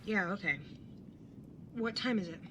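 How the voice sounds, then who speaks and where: A woman's voice: medium pitch, unhurried, noisy background, close to an everyday voice. A woman playing a laid-back, blunt older sister in her young adulthood, casual and teasing, in a naturalistic audio drama. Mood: groggy, easygoing. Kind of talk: naturalistic audio drama dialogue and first-person narration.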